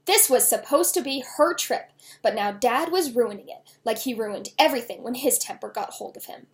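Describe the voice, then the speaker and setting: A woman author reading her own children's novel aloud in an expressive storytelling style. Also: a little high, moderate pace.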